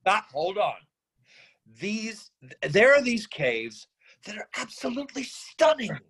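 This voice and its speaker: A man acting a middle-aged, free-spirited father in a staged screenplay reading, earnest, effusive and persuasive, with halting phrases. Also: high-pitched, big pitch swings, fairly bright tone, breathy edge, exaggerated voice. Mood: eager, persuasive.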